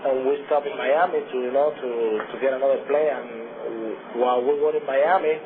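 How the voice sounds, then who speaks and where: A man's voice: mid-range voice, steady tone. Cuban-accented man on a noisy radio line. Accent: Cuban Spanish. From Cuba. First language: Spanish. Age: young adult. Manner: halting, many restarts and fillers. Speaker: baseball pitcher, interview guest. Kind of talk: radio interview answers. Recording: tinny phone-like radio broadcast with noise.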